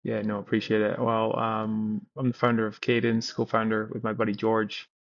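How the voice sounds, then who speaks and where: A man's voice: on the low side, completely flat delivery, normal speed, creaky, highs cut off. A Scottish man in his thirties, a company founder telling his story in a podcast interview with frequent restarts. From Scotland. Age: 30s.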